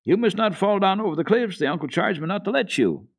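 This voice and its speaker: Storybook role: fretful, bossy goat boy done by a male reader, raised voice, lively scolding and calling. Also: sits high, fast talker, gravelly, voice pushed up, full character voice. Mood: warning. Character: bossy, anxious young goatherd boy.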